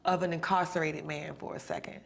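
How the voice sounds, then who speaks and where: A woman's voice: deep voice, little pitch movement, fairly quick, gravelly. A woman hosting a podcast, listening closely and asking pointed follow-up questions. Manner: attentive, probing, with frequent murmured agreement. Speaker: podcast host. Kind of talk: casual interview conversation.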